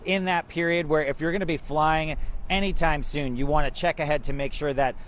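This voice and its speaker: Higher-voiced American man reporting live news. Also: steady tone, brisk, a bit bright, a little hiss or hum.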